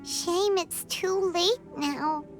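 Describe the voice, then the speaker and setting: A tiny floating fairy sidekick with an extremely high, squeaky child's voice. The little game character speaks slowly and earnestly in a cutesy, wide-eyed way, with music clearly playing behind. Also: female voice, heavily acted. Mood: saddened. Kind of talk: video game character acting.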